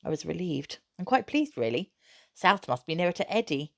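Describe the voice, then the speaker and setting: A woman reading a children's novel aloud as an expressive narrator. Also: fairly low pitch, normal speed, vocal fry, no echo, studio quality. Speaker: storybook reader.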